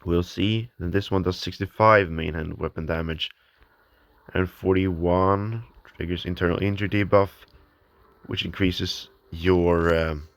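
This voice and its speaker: A man's voice: sits low, fairly even intonation, unhurried, no echo. A man thinking aloud while he plays an online game, hesitant and full of ums, his voice a little off from the early morning. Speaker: gamer. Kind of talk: casual gameplay commentary. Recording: noisy home recording with clicks.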